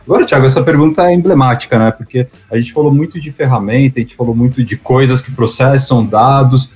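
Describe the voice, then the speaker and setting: Male guest, relaxed, friendly explainer at an average pitch. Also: fast talker, faint music behind. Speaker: podcast guest, digital specialist. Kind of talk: casual podcast chat.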